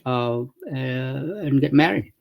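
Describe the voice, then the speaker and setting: A man's voice: medium pitch, slow pace, gravelly, dull audio. A man from Morocco hosting a casual video-call interview, musing aloud and often tagging his points with "right?". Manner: thoughtful, rambling, frequent "right?" tags. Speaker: interviewer, company founder.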